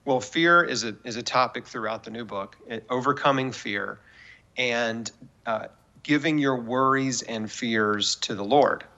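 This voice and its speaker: A man in his forties with a bright, forceful voice of medium pitch. He talks like an earnest interview guest, emphatic and persuasive, offering encouragement rooted in faith. The audio is somewhat dull and lo-fi. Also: a little slow. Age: 40s. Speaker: guest author and cancer survivor.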